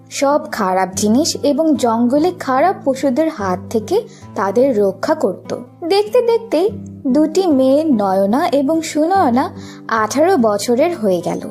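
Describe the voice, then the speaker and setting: A woman's voice: high-pitched, very animated, measured pace, darkish tone, soft background music. A woman narrating a scripted fairy tale for children.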